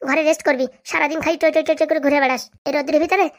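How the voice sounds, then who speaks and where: Bossy, caring village auntie for cartoon comedy, played by a woman: high, scolding, extremely quick delivery. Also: steady tone. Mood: fussing. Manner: scolding, fussing. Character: bossy, caring village aunt who cooks. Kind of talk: comic cartoon dialogue.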